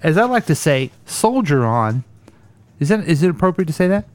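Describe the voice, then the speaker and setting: A man's voice: on the high side, very animated, a little fast. Rambling man hosting a radio show. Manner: rambling, self-correcting. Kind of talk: casual comedic radio banter.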